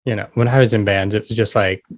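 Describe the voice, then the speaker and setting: A man's voice: fairly low pitch, fairly even intonation, fast talker, no room sound. A middle-aged man hosting a podcast, asking informal, rambling questions in a casual chat. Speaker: podcast host interviewing a musician.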